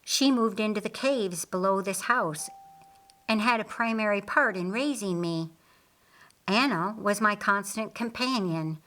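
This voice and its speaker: A woman voicing a kindly, earnest male master of a household in an audiobook, speaking in a measured, formal way. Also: mid-range voice, fairly bright tone, close to an everyday voice. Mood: reflective.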